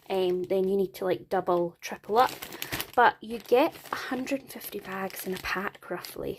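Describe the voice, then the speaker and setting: A Scottish woman chatting at home in a casual vlog, upbeat and friendly in her delivery. Her voice sits at an ordinary middle pitch. She talks things through easily, with the odd little laugh and "um" along the way. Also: a little hiss or hum. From Scotland. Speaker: parenting vlogger. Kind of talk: casual product walkthrough.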